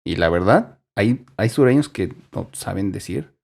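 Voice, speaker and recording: A Mexican man from Durango hosting a casual podcast, talking earnestly and haltingly, often repeating his words. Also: on the low side, lively intonation, creaky, a little mellow. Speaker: podcast host.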